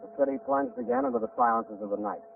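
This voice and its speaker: An actor playing a hard-boiled private detective, narrating with wry, deadpan American delivery in a 1940s radio drama. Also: male voice, extremely high pitch, quick pace, gravelly, recorded from a distance, heavily acted. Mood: weary, wry. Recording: muffled, noisy 1940s radio recording.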